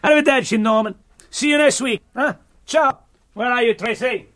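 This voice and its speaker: A man's voice: extremely high pitch, moderate pace, fairly bright tone. An actor playing a smug, scheming Italian man with an Italian accent and broken English, a fussy parrot owner in a sitcom. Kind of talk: sitcom acting.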